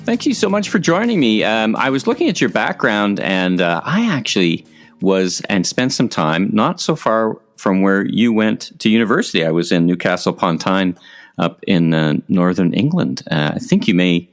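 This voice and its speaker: A low-voiced man hosts a podcast, chatty and friendly. His voice rises and falls in a lively way, with frequent filler words. Faint music sits under parts of it. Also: normal speed. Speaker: podcast host. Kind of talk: conversational podcast interview.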